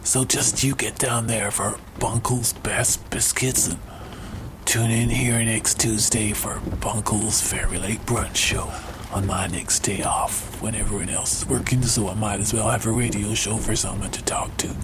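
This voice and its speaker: A man's voice: fairly low pitch, fairly even intonation, a little slow, noisy background, natural voice. A man playing a folksy small-town late-night radio host in a comic audio drama, with a rambling, homespun delivery.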